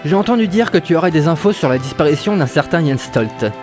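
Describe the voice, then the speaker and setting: A man's voice: a little high, expressive, fast, over music, close to an everyday voice. A man playing a weary, wry and stubborn 1930s private detective in a French audio drama, narrating and talking in noir style. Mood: wry, coaxing.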